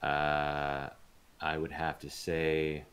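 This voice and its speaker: Extremely deep-voiced man, fairly even delivery. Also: drawn-out words, clean tone. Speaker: guest voice actor being interviewed. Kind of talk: casual interview chat.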